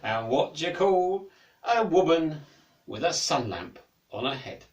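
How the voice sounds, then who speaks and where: A hapless storybook jester, eager to please, his pleading voice pitched up and bouncing through a wide range, as a man acts him out. The sound is roomy, heard from a distance. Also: sing-song, a little slow, lo-fi sound. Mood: showman.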